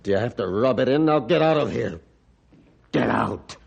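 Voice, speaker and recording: A man acting a bitter, gruff old hermit doctor in an old-time radio drama, with halting rustic speech and a defensive, threatening manner. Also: medium pitch, lively intonation, heavily acted. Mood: bitter, despairing. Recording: muffled, band-limited vintage radio recording.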